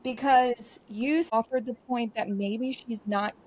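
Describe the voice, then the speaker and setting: A woman's voice: a little high, fairly even intonation, a little mellow, low-quality recording. Sarcastic chatty podcast co-host woman. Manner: chatty, sarcastic, quick asides. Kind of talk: comedic casual chat.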